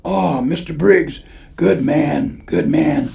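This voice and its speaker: A man's voice: fairly high pitch, slow pace, vocal fry, mellow, heavily acted. An actor playing a stern, folksy older churchman in a Western radio drama. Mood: probing. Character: stern balding old churchman, gruff but devoted caretaker.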